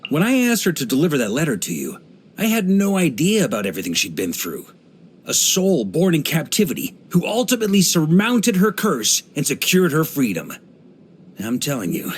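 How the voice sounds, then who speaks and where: An actor playing a hearty middle-aged knight grandmaster in a video game, an easygoing adventurer who talks in a wry, conversational way. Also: male voice, fairly high pitch, a little hiss or hum. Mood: admiring, easygoing. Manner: relaxed, wry, conversational, with chuckles and musing pauses. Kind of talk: video game character voice lines.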